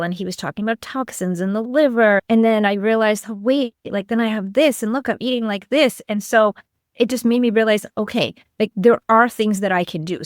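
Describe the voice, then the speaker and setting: A woman's voice: mid-range voice, dry recording, clean studio sound. A middle-aged woman from Lithuania telling a personal story conversationally as an interview guest, acting out bits of dialogue as she goes. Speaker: podcast guest, clinical nutritionist.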